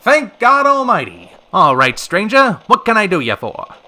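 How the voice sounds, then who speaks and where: An actor playing a sardonic, easily flustered 1930s radio call-in host with wry, old-fashioned slangy patter. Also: male voice, sits high, very animated, normal speed, pushed and bright, heavily acted. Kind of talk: old-time radio drama acting.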